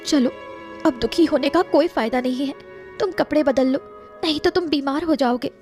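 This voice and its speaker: A woman's voice: high voice, normal speed, darkish tone, soft background music, lightly acted. A woman voicing a fisherman's young wife in a cartoon, who speaks in a worried, earnest way.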